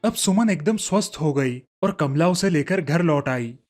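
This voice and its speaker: A man's voice: a little high, animated, moderate pace. A man narrating a scripted children's story as a storyteller. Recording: faint music under the voice. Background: soft background music.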